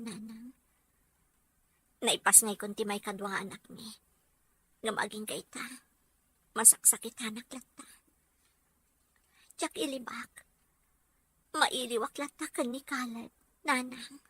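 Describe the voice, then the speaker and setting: Woman as young Filipino wife, high-pitched, piercingly bright, sensitive, audio drama delivery, music behind. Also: fairly even intonation, a little slow, long pauses, husky, heavily acted. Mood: grieving. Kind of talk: Ilocano audio drama acting.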